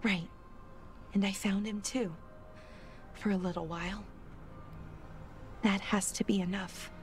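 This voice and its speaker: An actress plays a young female elf scholar from a fantasy video game. She is earnest and torn, choosing her words haltingly and thoughtfully. Music plays underneath. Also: mid-range voice, fairly even intonation, close to an everyday voice. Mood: sad, resigned. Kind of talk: dramatic video game dialogue.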